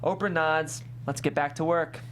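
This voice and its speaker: A woman hosting and narrating, speaking in a clear presenter's way as she reads or introduces. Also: low-pitched, steady tone, moderate pace, slight background noise. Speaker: host and stage-directions narrator. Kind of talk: scripted narration and interview hosting.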